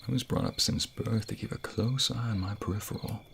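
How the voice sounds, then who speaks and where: A man playing a low-voiced, tender romantic king. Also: fairly even intonation, a little fast, fairly bright tone, slight background noise. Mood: teasing, flirtatious.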